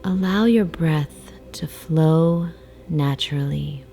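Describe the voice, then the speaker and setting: A woman guiding a sleep meditation in a soft, soothing, hypnotic way, with breathy phrase endings and long pauses. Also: low voice, soft background music. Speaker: meditation guide. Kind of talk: guided sleep meditation, slow hypnotic narration. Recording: faint background noise, ocean waves. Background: ocean wave sounds.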